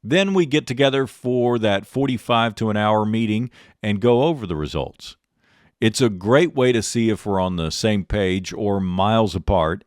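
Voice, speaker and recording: A middle-aged man hosting a podcast, delivering a scripted instructional monologue in a measured, articulate presenter's way. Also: on the low side, darkish tone, no room sound, clean studio sound. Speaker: podcast host and radio talent coach.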